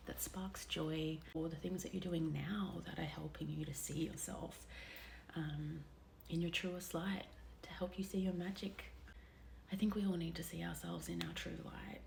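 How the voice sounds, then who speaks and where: A woman in her thirties with a low, level voice and a gravelly edge speaks openly to her viewers. Her delivery is candid and confessional, and she often sinks into a soft near whisper. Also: a little hiss or hum. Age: 30s. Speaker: vlogger. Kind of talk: personal reflective vlog.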